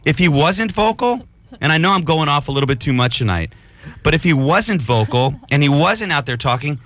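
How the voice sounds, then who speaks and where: Bright-voiced man hosting late-night radio. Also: a little high, a little fast. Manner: rambling, animated, tells made-up anecdotes to make a point. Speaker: radio co-host. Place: radio studio. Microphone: studio microphone.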